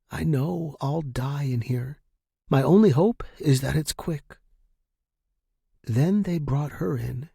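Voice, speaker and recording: A man reading first-person fiction as a narrator, in a measured, deliberate and dramatic way. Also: medium pitch, gravelly, dark tone, slightly rough, no echo, studio quality. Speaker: story narrator.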